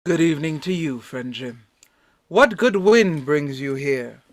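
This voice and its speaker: Radio drama doctor: male actor, courteous and shrewd, slightly high voice, unhurried, dry close sound. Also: expressive, no echo. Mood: shrewd, curious. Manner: deliberate, formal. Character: measured, inquisitive gentleman doctor, shrewd and courteous. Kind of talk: radio play reading.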